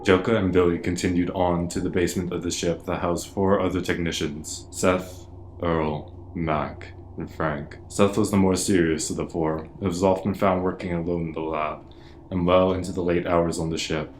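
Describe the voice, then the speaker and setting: A man's voice: sits low, level tone, moderate pace, slight background noise, natural voice. A man playing the sober narrator of a scripted radio play. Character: sober male storyteller of a space mystery.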